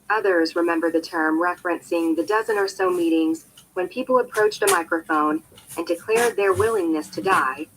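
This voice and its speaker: A woman reading a scripted nonfiction audiobook in an expressive, emphatic storytelling manner. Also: fairly low pitch. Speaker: audiobook narrator. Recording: poor amateur copy, faint background noise.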